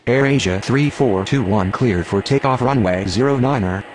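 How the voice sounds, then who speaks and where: A man's voice: mid-range voice, moderate pace, natural voice. A man voicing a formal, procedural pilot and controller in an air traffic game, speaking in clipped radio phrases. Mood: businesslike.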